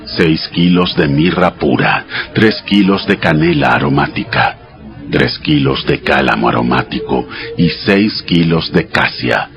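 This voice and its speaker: An actor playing God as a solemn, commanding deity giving sacred laws in a dramatized audio Bible, in a measured manner. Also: male voice, low-pitched. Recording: voice over clear background music.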